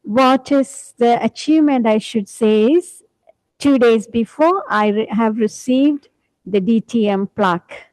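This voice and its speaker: A woman's voice: a little high, unhurried, a little mellow, dry recording, poor audio. A woman from India, introducing herself modestly and searching for words. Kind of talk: casual self-introduction.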